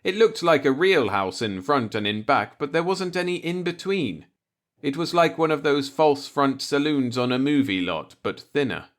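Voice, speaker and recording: A man's voice: a little high, expressive, moderate pace. A man reading a light-hearted short story aloud as an audiobook narrator, in a scripted storytelling style.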